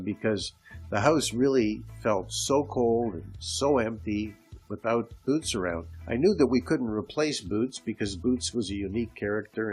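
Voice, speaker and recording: A congested, slightly hoarse man from Canada hosts a podcast from home, telling stories in a chatty way on an old microphone. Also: lowish voice. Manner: conversational storytelling, with restarts. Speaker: podcast host. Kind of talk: casual solo podcast monologue. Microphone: old home microphone with hiss.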